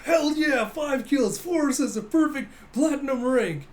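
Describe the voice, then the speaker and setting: A man's voice: high voice, expressive, a little fast, darkish tone, pitched above the performer's own voice. A man voicing a neurotic, childish gamer captain, whiny and defiant, with melodramatic outbursts. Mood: manic excitement.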